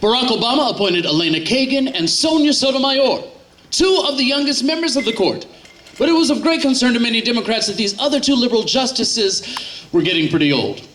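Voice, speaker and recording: A man's voice: very high-pitched, lively intonation, brisk, a bit bright, slight background noise, low-quality recording. A man on a live stage as the troupe's emcee, chatting through a setup and announcing the next act. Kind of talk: live comic stage introduction. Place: outdoor amphitheater stage.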